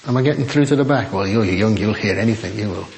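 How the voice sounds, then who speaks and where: An older man preaching a chatty, self-deprecating sermon in a quiet voice to a church congregation on a small microphone. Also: fairly low pitch, quick pace, vocal fry, slight background noise. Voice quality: quiet, soft-spoken voice. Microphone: small sensitive microphone.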